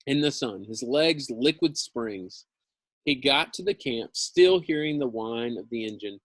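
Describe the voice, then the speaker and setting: A man gives an expressive read-aloud of a story at an unhurried pace. He leans into phrases with dramatic emphasis and drops into informal commentary. The sound is dry, with very little room. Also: medium pitch, no echo. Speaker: teacher reading a novel aloud.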